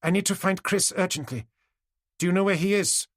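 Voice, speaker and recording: A man voicing a determined young woman who escaped captivity, speaking urgently and forcefully, often at a shout. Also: sits high, brisk, no room sound, studio quality, voice pushed up.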